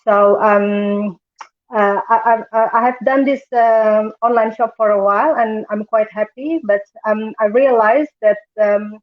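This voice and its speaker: A woman in her forties from Indonesia, talking through her story with many pauses and fillers on a video call. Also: medium pitch, steady tone. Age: 40s. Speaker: panel guest. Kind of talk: casual online panel talk. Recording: tinny phone-like call audio.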